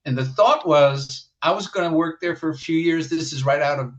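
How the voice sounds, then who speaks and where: A man's voice: fairly high pitch, normal speed, dull audio. A man in his sixties from the Netherlands, telling his life story as a musician guest in a casual interview, with many restarts and fillers.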